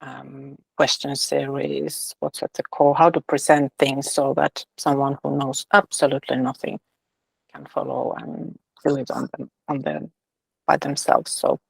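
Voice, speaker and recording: Gravelly-voiced woman, dry sound. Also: deep voice, a little mellow, no room sound, poor audio. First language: Finnish. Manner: thoughtful, hesitant with fillers. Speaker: guest, releasing facilitator and author. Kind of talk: casual interview talk.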